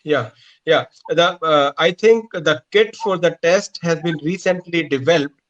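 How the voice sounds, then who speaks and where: A man, a doctor from India, answering questions haltingly in a hoarse voice. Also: on the high side, fairly bright tone. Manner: halting, searching for words. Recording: dull lo-fi call audio.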